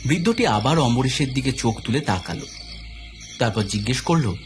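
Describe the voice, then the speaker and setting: Animated male story narrator over music. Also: medium pitch, moderate pace. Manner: expressive, suspenseful storytelling. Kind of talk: dramatic scripted audio story narration. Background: clear background music.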